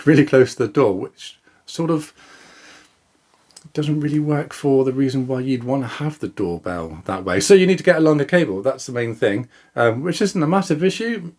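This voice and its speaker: A man with a mid-pitched voice talks casually through a product demonstration. He is informal and chatty, often thinking aloud, hesitating and correcting himself as he explains. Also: darkish tone. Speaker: product reviewer and video host. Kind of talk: casual unboxing and demonstration talk to camera.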